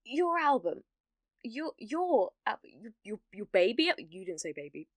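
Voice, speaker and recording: A woman's voice: on the high side, very animated, a little slow, dry recording, clean studio sound. A woman with a British accent playing a clumsy, obsessive, lovestruck young witch in an ASMR roleplay, with hesitant, awkward speech. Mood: flustered, nervous. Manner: flustered, stammering, hesitant, breathy murmuring asides.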